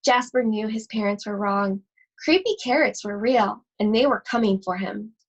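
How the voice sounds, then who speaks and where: A woman reading a children's storybook aloud expressively, with deliberate, suspenseful phrasing and drawn-out words. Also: mid-range voice, highs cut off, slight background noise. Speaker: school library read-aloud host.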